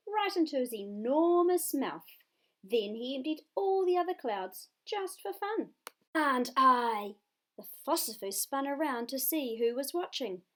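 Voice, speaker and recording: A woman with a New Zealand accent reading a children's story expressively, with dramatic, drawn-out emphasis. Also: on the high side, darkish tone.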